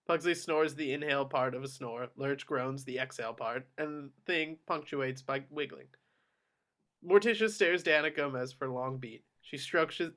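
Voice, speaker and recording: A man's voice: mid-range voice, a bit bright. A man reading screenplay action descriptions aloud as narrator in scripted narration.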